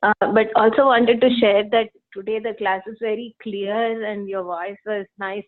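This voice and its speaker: A woman taking part in an online class, speaking politely and slowly with frequent fillers. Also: medium pitch. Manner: polite and measured, many fillers. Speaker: class participant. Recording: thin phone-line sound.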